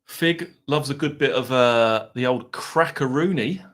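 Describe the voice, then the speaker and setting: A man's voice: medium pitch, a little slow, a bit bright. A man with an Essex accent hosting a live stream, rambling casually and chatting with his viewers. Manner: mild swearing, laid-back banter.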